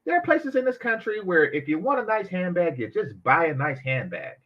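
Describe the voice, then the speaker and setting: A man hosting a reaction commentary, talking in an animated, sarcastic way with mocking asides. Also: high voice, fairly quick, muffled recording. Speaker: commentary channel host.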